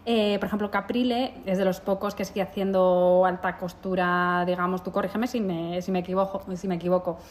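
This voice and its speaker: A woman's voice: lowish voice, fairly even intonation, fairly quick, few pauses. A woman hosting a podcast, asking long, meandering questions and backchanneling as her guest speaks. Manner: friendly backchanneling, rambling questions. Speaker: podcast host, interviewer. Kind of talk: casual interview chat.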